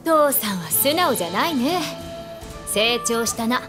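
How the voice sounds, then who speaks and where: A woman's voice: on the high side, very animated, measured pace, music in the background. A woman playing a spirited, frank young woman, a doctor's daughter, in a Japanese video game, casual and teasing. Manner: casual, teasing, self-assured.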